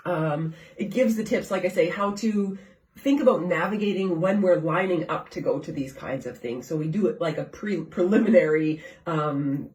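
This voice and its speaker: A woman's voice: lowish voice, moderate pace, a little mellow. A woman from Canada hosting a live nutrition show from her home kitchen, talking casually and asking viewers questions, with a stuffed-up, congested voice. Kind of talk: casual live-stream chat. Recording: echoey room, far from the mic.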